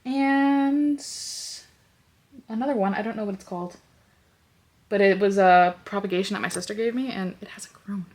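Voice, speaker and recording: A woman's voice: mid-range voice, moderate pace, pauses often. A young woman with a stuffy, congested nose, chatting casually in her bedroom and rambling with lots of fillers. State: congested, sniffly. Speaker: lifestyle vlogger. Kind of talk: casual vlog chat. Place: bedroom at home.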